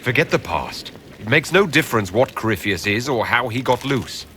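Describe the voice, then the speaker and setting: An actor playing a resolute leader in a fantasy video game, formal and direct. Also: male voice, mid-range voice, animated, normal speed, fairly bright tone, a little hiss or hum, close to an everyday voice. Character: commanding, resolute male leader of a fantasy order. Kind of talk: video game dialogue acting.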